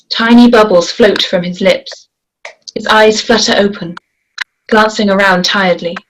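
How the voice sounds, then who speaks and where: A woman with a mid-pitched voice narrates a screenplay at a table read. She reads the stage directions, with faint noise and clicks behind her. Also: low-quality recording.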